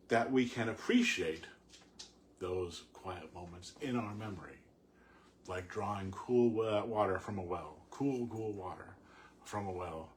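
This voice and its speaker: Male poetry reader, unhurried and thoughtful, slightly low register, explanatory and halting, some noise on the recording. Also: fairly bright tone. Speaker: poet reading and commenting on a poem. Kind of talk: poetry reading with informal commentary. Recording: clearly audible background noise.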